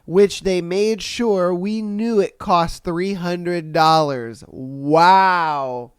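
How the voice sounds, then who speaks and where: A man hosts his podcast, his voice slightly nasal as he recovers from an illness. He sits on the high side and stays smooth and clear, recorded close and dry with no room sound. He reacts in a loose, slangy, often profane way. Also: drawn-out words, no echo. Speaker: podcast host. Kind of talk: casual commentary on read-out posts.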